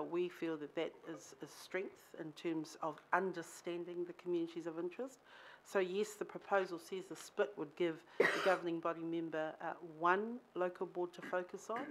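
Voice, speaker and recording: Woman with a lower voice, careful halting answers to a council in its chamber. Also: a little hiss or hum, low-quality recording. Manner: careful, halting, many fillers. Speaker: local board member. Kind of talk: answering questions at a council meeting.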